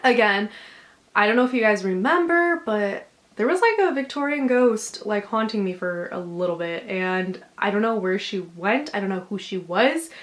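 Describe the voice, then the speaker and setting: Casual host, young woman, talks loose and gentle with plenty of filler. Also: medium pitch, lively intonation, moderate pace. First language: English. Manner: chatty, filler-heavy, gently reassuring. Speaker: channel host. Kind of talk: casual chat, reading subscriber stories.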